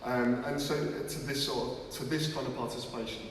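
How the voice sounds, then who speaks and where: A man's voice: medium pitch, steady tone, normal speed, a little hiss or hum. A man giving a live presentation at a launch event, talking energetically with many restarts. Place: large museum hall. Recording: echoey hall from far off.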